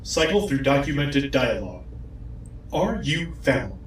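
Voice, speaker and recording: An actor playing a commanding, obsessed villain in an audio drama, speaking in a deliberate, threatening way. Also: male voice, mid-range voice, big pitch swings, natural voice. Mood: brooding resolve. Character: commanding, obsessed villain hunting a lost loved one. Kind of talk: dramatic audio fiction acting. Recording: echoey, distant, faint noise.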